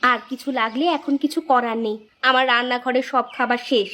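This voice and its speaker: A woman voicing an expressive village aunt in a cartoon, with a homely, coaxing and scolding manner. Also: a little high, brisk, slight background noise, lightly acted. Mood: grumbling, suspicious. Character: village aunt, hospitable upfront, grumbling privately. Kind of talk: amateur cartoon dubbing.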